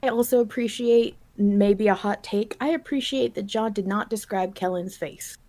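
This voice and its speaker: A woman's voice: medium pitch, moderate pace, dark tone. A woman co-hosting a podcast, talking casually about a story in an earnest, reflective and emphatic way. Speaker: podcast co-host. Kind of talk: casual discussion of a fiction podcast.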